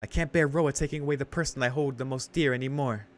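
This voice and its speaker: A man's voice: mid-range voice, fairly even intonation, fast talker, close to an everyday voice. A man voicing an earnest, protective young woman from a visual novel, urgent and indignant. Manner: pleading, urgent. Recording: music behind the voice.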